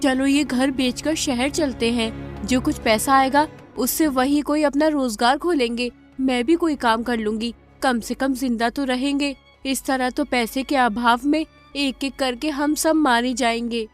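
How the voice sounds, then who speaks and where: An actress playing a poor, grieving village wife in a story, plaintive yet resolute, over music. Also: female voice, high-pitched, steady tone, measured pace. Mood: weary, resolute. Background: background music.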